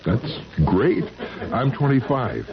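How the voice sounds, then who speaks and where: Breezy young suitor, very animated. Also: male voice, medium pitch, takes it slowly, creaky, crowd noise, exaggerated voice. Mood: amused, disbelieving. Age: 20s. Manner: breezy, amused, humoring. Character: easygoing, disbelieving twenty-five-year-old male suitor. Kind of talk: old-time radio drama acting. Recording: dull vintage radio recording.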